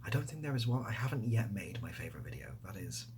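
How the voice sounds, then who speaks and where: A man chatting casually and softly, often nearly whispering, in a rambling, self-deprecating way as he answers questions. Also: lowish voice, lively intonation, quick pace, very noisy. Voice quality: soft, breathy, often near whisper. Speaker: ASMR video creator. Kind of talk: softly spoken casual Q&A chat. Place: indoors next to a window.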